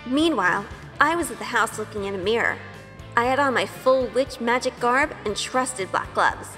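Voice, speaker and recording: A woman plays a sheltered young witch in an audio drama, sweet-natured and full of wonder. Her voice sits fairly high. It rings in a long reverb with soft music underneath. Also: expressive, moderate pace. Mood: wonder-struck. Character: Grace, wide-eyed sheltered good young woman turned witch. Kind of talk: first-person audio drama narration with in-scene dialogue.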